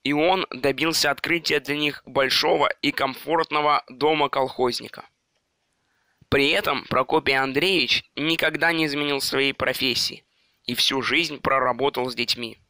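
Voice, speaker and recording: A man narrates an animated film in a very bright, crisp voice. The sound is dry and close, and the delivery is scripted storytelling. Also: mid-range voice, normal speed, dry recording.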